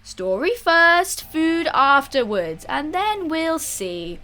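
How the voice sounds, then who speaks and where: A woman voicing an eccentric, excitable old madman in an audiobook story, eager and erratic, repeating words in short bursts. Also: high-pitched, takes it slowly, pitched above the performer's own voice, exaggerated voice. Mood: gleeful, insistent. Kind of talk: audiobook character voice.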